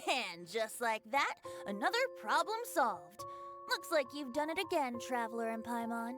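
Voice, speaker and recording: A woman's voice: fairly high pitch, very animated, normal speed. A woman playing a breezy, teasing young drinker in a video game cutscene, with a playful theatrical manner. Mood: pleased. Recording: game music behind the voice.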